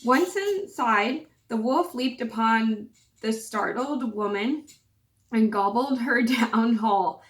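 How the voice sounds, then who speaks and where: A woman's voice: fairly high pitch, slow pace. Scratchy-voiced woman reading aloud. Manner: chatty, apologetic asides. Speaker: channel host reading aloud. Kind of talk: storybook read-aloud.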